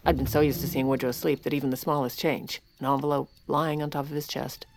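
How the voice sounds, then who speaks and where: Give the story to a wry, exasperated First Lady. Her voice sits low with plenty of fry. She confides dryly, as the narrator and heroine of a comedic period audio drama. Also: flat delivery, fast talker, noisy background. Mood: dry, confiding. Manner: dry, wry. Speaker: narrator and lead character.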